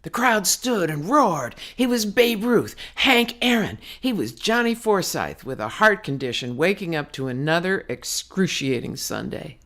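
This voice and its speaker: A woman's voice: lowish voice, big pitch swings, a little slow, few pauses, fairly bright tone. A woman narrating her own novel as an audiobook, in a dramatic storytelling manner with slangy, clipped phrasing. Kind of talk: scripted narration, audiobook reading.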